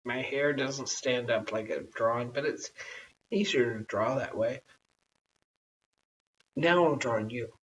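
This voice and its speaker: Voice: mid-range voice, slow, pauses often, fairly bright tone. An adult storyteller voicing an eager, chatty, polite little girl in a children's storybook. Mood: cheerful, explaining. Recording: dull, hissy, distant home recording.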